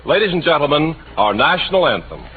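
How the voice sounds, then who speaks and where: A high-pitched American man makes formal radio announcements with lively, swooping intonation. His delivery is declamatory, in 1940s style, on a dull old recording with faint noise. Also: a little fast.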